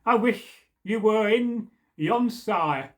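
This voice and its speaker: A man's voice: high voice, slow. A man reading aloud, voicing a false knight who questions and threatens a boy on the road. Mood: menacing.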